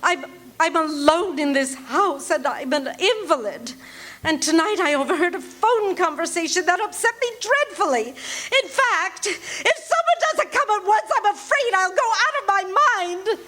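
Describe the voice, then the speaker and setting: A woman's voice: very high-pitched, expressive, unhurried, bright, forceful tone, heavily acted. An actress playing a frantic, demanding invalid woman alone at night in a live radio play, fretful and breathless. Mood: anxious. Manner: fretful, breathless, rising into panic.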